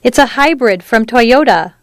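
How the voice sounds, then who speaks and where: A male narrator puts on a nervous young city woman from a storybook. The voice is pitched high and sounds close and dry, meekly polite with an edge of indignant protest. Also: animated, normal speed, a bit bright, no echo. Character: anxious young New York woman, polite but indignant.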